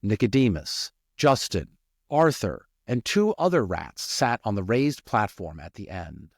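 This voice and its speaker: A man's voice: on the low side, big pitch swings, a little slow, gravelly, no echo, clean studio sound. A man reading a storybook aloud as narrator, slipping into chatty, informal asides. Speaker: storyteller and audiobook reader.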